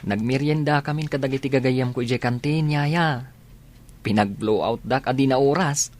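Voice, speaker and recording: A man's voice: medium pitch, normal speed, natural voice. A man acting in a radio drama as a dutiful, affectionate young student son. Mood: warm, grateful.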